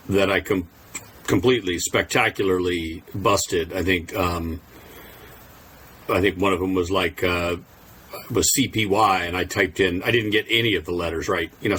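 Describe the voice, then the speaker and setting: Casting: man, deep low voice, casual hobby vlogger, easygoing and self-deprecating, light noise behind him. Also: fairly bright tone. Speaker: amateur radio Morse code video maker. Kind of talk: casual vlog chat.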